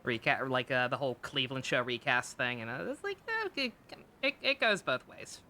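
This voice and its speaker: A woman's voice: rumbling low, lively intonation, fairly quick, bright, forceful tone, a little hiss or hum. A woman live streaming a game with casual, candid commentary, rambling and stammering, with frequent swearing. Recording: game music behind the voice.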